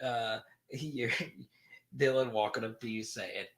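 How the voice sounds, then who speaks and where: A man's voice: mid-range voice, steady tone, slow, no echo. A man in his thirties hosting a sports podcast livestream, chatting casually as he reads viewer comments, laughing often and tripping over restarts. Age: 30s.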